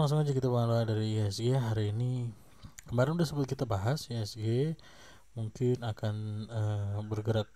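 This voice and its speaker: A man's voice: mid-range voice, a little hiss or hum. A man hosting a stock channel, casually walking through charts with many fillers and a slight cough. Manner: relaxed, many fillers and tag questions. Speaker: stock market channel host.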